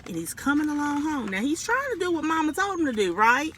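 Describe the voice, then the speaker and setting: A woman storyteller reading a picture book aloud to children and pausing to ask them questions. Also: high-pitched, big pitch swings, a bit bright, slight background noise.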